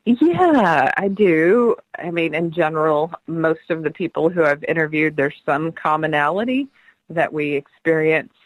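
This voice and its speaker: A woman's voice: sits low, lively intonation, a little slow, no echo, rough recording. A middle-aged woman guest on a radio interview, speaking earnestly and laughing often as she tells her stories.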